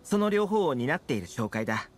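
A man voicing an easygoing, confident young fixer in a Japanese video game, talking smoothly and persuasively. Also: on the high side, big pitch swings, fairly quick, slight background noise. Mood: easygoing, friendly. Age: young adult.

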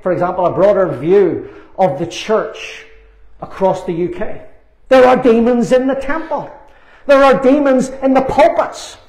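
A man in his sixties preaching a fervent sermon, emphatic and repetitive, with deliberate pauses, on a lapel mic in a small church meeting room. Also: extremely high pitch. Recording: roomy, echoing meeting room.

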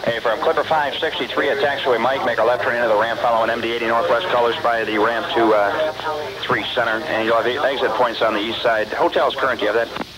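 A man's voice: mid-range voice, fairly even intonation, fast talker, a loud crowd, low-quality recording. A man working as an air traffic ground controller, giving clipped, procedural taxi instructions over aviation radio.

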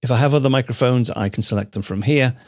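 A man hosting a computer tutorial, explaining steps in a measured, steady way on a studio microphone. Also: medium pitch, no room sound. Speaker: tutorial host. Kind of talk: screencast software tutorial narration.

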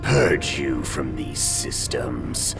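An actor playing a menacing machine villain in a video game, gravelly, slow and threatening. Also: male voice, a bass voice, sing-song, over music, heavily acted. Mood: cold threat. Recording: dramatic score clearly behind.

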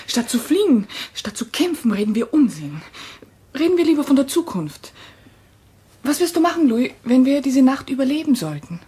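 A distraught wife in a thriller radio play. Her high voice is very breathy and mellow, rising and falling widely as she pleads urgently and dramatically. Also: very animated, normal speed, exaggerated voice. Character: Isabelle, distraught yet pleading wife caught between men.

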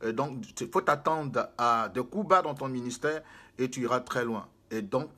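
A man's voice: medium pitch, normal speed, pushed and bright. A man, preaching to a camera in a small room, emphatic and repetitive, gesturing as he speaks. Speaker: prophet and spiritual guide.

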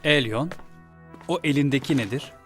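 A man's voice: mid-range voice, moderate pace, a bit bright, close to an everyday voice. A man playing a scheming villain prince in a cartoon dub, speaking in a formal, commanding manner. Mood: cold. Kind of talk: animated series dub acting. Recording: music behind the voice.

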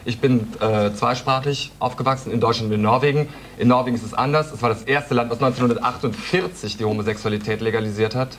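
Young male panelist, fast and indignant, correcting others; studio debate tape. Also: mid-range voice, fairly bright tone, slight background noise. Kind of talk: heated TV debate. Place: TV studio audience seating.

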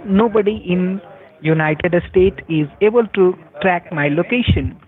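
Male phone scammer, Indian accent, slightly high voice, abusive and goading, heard down a tinny phone line. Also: a little slow, crowd noise. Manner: crude, taunting, swearing. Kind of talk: heated phone argument. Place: phone call.

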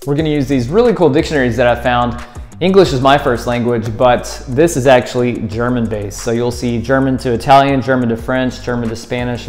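A man in his thirties talks through a hands-on tutorial with easy, upbeat energy, explaining each step as he goes. His voice sits in an ordinary middle pitch. He is recorded indoors on a lapel mic, with faint music playing softly behind him. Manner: upbeat, explains step by step while handling props. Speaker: escape room tutorial host. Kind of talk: friendly how-to demonstration. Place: indoors at a table against a plaster wall.

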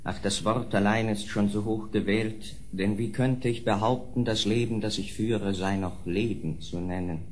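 Over faint noise and ambience comes a lowish-voiced, tormented nobleman acted by a man. He is weary and anguished, choosing formal words haltingly, in the manner of a gothic radio play. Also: steady tone, close to an everyday voice. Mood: anguished pleading. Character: tormented, weary nobleman pleading with his old friend. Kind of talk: dramatic gothic audio drama acting.

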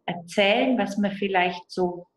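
A woman's voice: mid-range voice, a little hiss or hum, lightly acted. A woman voicing a friendly child-like girl hand puppet who speaks simply and with a lisp. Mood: confiding. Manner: slow, simple childlike phrasing. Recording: roomy video call sound.

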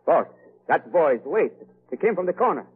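An actor playing a cowardly, excitable henchman with broken grammar in a 1930s radio drama. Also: a little high, normal speed, husky, heavily acted. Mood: panicky. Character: cowardly, panicky male henchman of a crime boss. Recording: tinny narrowband 1930s radio recording.

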